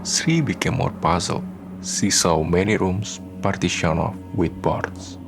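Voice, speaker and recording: Low, gravelly male drama narrator. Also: a little slow, over music. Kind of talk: scripted radio drama narration.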